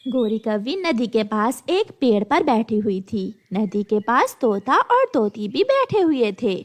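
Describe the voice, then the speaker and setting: A woman narrates a scripted children's cartoon tale. The voice is high-pitched and animated, with wide melodic swings from phrase to phrase. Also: a little slow.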